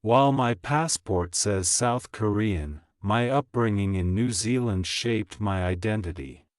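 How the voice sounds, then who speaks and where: Male narrator for a language lesson, lowish voice, dry close recording, careful even delivery. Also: measured pace, clear, resonant tone, no echo. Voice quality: plain, even reading of each sentence, repeated. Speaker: English teacher reading practice sentences. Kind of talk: slow, clear scripted reading for shadowing practice.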